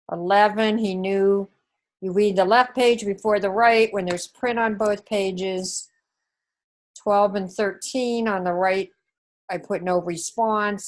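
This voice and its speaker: A woman teacher with a New Zealand accent, working through the scoring of a test step by step in an online class. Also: medium pitch. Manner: slow, methodical, talks through scoring step by step.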